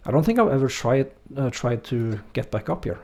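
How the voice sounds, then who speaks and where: A man's voice: medium pitch, brisk. A man with a Norwegian accent, streaming a game and chatting casually with viewers, hesitant with many fillers. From Norway. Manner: relaxed, hesitant, many fillers, reads chat aloud. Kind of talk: casual let's-play chat with chat readers.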